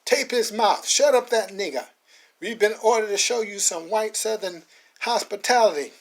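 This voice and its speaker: A man voicing a hostile, menacing male police officer who speaks in a slow, threatening drawl. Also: high voice, voice pushed up.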